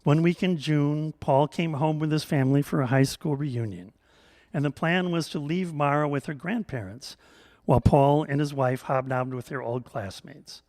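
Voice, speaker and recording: A man reads a scripted story aloud with gentle humor and measured phrasing. His voice is a little higher than most men's, and the sound is dry with little room echo.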